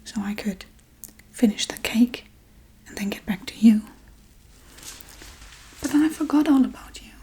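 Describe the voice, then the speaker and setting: A scatterbrained Halloween witch, acted out by a woman, murmurs in a very breathy, whispery voice. The tone is slightly high and mellow. She rambles offhandedly in soft ASMR role-play style, blithely callous about her spells and victims. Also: measured pace, long pauses, heavily acted, rough recording. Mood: offhand, musing.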